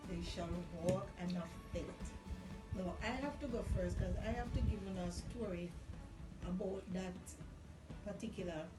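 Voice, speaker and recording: A woman's voice: mid-range voice, normal speed. A middle-aged woman with a Caribbean accent telling a personal story in casual chat, emphatic and repeating phrases for effect. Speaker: vlog guest sharing personal testimony. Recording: roomy, distant mic, music underneath. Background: music.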